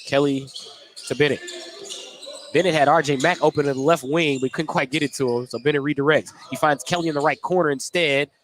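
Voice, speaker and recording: Higher-voiced man calling live basketball. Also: fairly even intonation, brisk. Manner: running short-phrase descriptions of the action. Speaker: play-by-play basketball announcer. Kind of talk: live radio sports commentary. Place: indoor basketball gym. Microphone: headset microphone. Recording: gym noise behind headset commentary.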